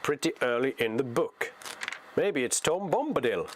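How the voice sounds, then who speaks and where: A man's voice: medium pitch, big pitch swings, moderate pace, slight background noise. A young man from Norway with a Norwegian accent and Norwegian as first language, reading a novel aloud on a livestream and chatting, often restarting his words. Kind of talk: live reading and casual chat.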